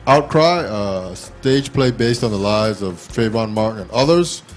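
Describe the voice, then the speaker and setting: A man's voice: lowish voice, animated, slight background noise. Man hosting a casual talk show. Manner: halting, repeats words as he asks.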